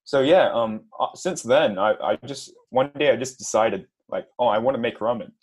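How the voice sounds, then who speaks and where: Nervous young man, halting. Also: medium pitch, breathy edge. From Australia. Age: 20s. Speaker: podcast guest. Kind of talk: casual interview.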